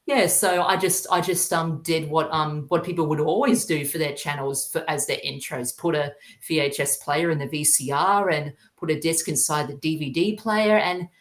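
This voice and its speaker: A man's voice: fairly high pitch, fairly even intonation, moderate pace, few pauses, fairly bright tone. A young Australian man chatting casually as an interview guest, hesitant and earnest in his delivery. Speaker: podcast guest, YouTuber. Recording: roomy, echoing sound.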